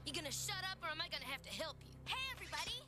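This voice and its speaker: An actress playing a tough, sassy young superheroine in an animated series, with a brash drawl. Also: female voice, very high voice, very fast, exaggerated voice. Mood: scolding. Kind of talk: 1990s animated superhero cartoon acting. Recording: loud music behind cartoon dialogue.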